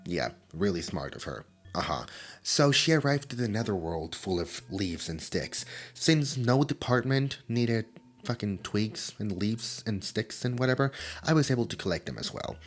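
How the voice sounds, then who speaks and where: A performer voicing a theatrical, flustered demon, widely swooping pitch. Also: lively intonation, normal speed, faint music behind, close to an everyday voice. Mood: wistful, soft. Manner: stammering, theatrical, swinging from soft musing to panicked outbursts. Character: flustered, nerdy immortal demon, collector of trinkets. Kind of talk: roleplay ASMR character acting.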